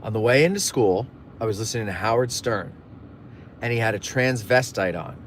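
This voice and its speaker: A man's voice: fairly low pitch, lively intonation, moderate pace, slight background noise. A man hosting a casual live stream, rambling through stories and jokey asides while reading viewer messages. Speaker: livestream host. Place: in a moving car.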